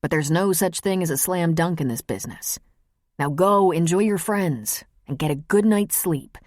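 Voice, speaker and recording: A woman's voice: lowish voice, normal speed, a little mellow, dry recording, close to an everyday voice. A female narrator voices a kindly man of the law in a novel. He is wry and encouraging, heard in a dry recording. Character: wry, kindly adult male lawyer colleague.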